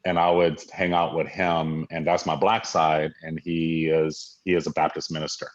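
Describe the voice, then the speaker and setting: A man's voice: very deep voice, flat delivery, moderate pace. A man from Hawaii, a guest in an interview, talking in an earnest, reflective way with many fillers and restarts. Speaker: guest, diversity leader. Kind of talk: conversational interview. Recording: dull lo-fi audio.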